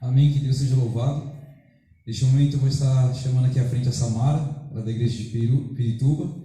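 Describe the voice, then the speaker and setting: A man's voice: mid-range voice, fairly even intonation, fast talker, warm tone. A Brazilian man hosting a church service, making an announcement to introduce a preacher. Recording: muffled, very echoey church room.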